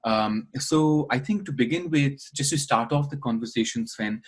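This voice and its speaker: A man's voice: medium pitch, normal speed, husky. A man hosting a remote interview, encouraging his guest with frequent short affirmations. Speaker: interview host.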